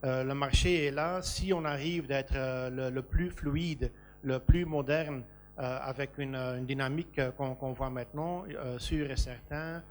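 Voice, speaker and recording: A man's voice: medium pitch, unhurried. A man from Belgium speaking on a business panel about a port, hesitant with many fillers and restarts. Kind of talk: panel discussion.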